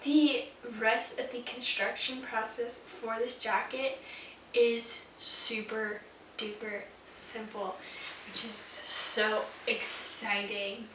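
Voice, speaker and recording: A young woman in her twenties with a slightly hoarse voice from being sick, casually explaining sewing steps with lots of ums. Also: a little high, level tone, takes it slowly, a bit bright, slight background noise. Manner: chatty, filler-heavy explaining, many ums. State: hoarse, recovering from illness. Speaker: sewing vlogger. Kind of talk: casual tutorial vlog. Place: home sewing room at night. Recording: echoey room, distant camera mic.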